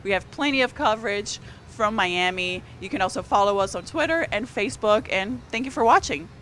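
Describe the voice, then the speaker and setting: A woman's voice: medium pitch, animated, fairly quick, a bit bright, a little hiss or hum. A woman whose first language is Portuguese, a newspaper columnist talking politics on a live stream in a chatty way, with fillers and restarts. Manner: conversational, fillers and restarts, rising energy on key points.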